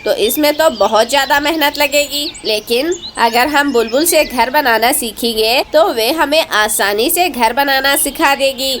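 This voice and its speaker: A woman's voice: high-pitched, a little slow, hardly any pauses, fairly bright tone, a little hiss or hum. A woman voicing a lazy, persuasive male crow in a cartoon story, with an exclamatory, urging manner. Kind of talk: Hindi cartoon story dialogue.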